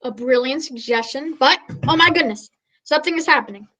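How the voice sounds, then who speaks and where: A woman's voice: high voice, fairly quick, sharp tone. A woman playing an earnest, grandiose radio news reporter in a mock radio play, declaiming dramatically. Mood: dramatic.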